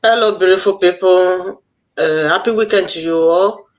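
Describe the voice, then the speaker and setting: Higher-pitched Nigerian man addressing viewers. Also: moderate pace. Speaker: co-host, online business owner. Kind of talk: promotional live address to viewers.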